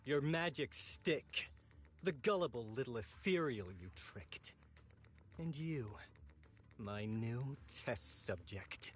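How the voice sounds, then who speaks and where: An actor playing an ambitious, ruthless zealot in a video game, husky-voiced, bitter and taunting in a theatrical way. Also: male voice, mid-range voice, animated, takes it slowly. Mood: contemptuous, menacing. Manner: theatrical, taunting, sneering villain delivery. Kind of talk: dramatic video game voice acting.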